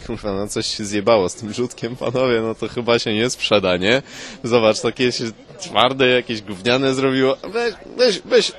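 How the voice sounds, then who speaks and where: A man's voice: mid-range voice, animated, moderate pace, fairly bright tone, close to an everyday voice. Man acting a bossy, foul-mouthed ancient restaurant boss. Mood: gruff, annoyed.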